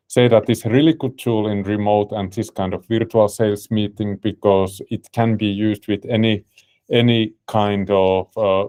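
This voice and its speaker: A man's voice: lowish voice, warm tone. A man with a Finnish accent, a native Finnish speaker, hosting a business webinar and presenting in English with many fillers and restarts. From Finland. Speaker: webinar host and company CEO.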